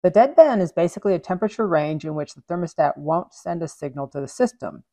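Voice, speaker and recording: A woman's voice: on the low side, quick pace, no echo, faint music behind. A woman in her forties, the host of an HVAC channel, explaining a control concept step by step over whiteboard drawings in a home office. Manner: clear, steady step-by-step explaining. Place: home office with shelves and neon sign.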